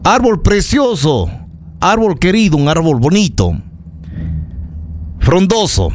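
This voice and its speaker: Ecuadorian male radio news host, highish voice with big melodic swings, emphatic and indignant, opinionated live delivery. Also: sing-song, slow, slight background noise. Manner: indignant, emphatic, repeating phrases for effect. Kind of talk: live opinionated radio commentary, addressing listeners.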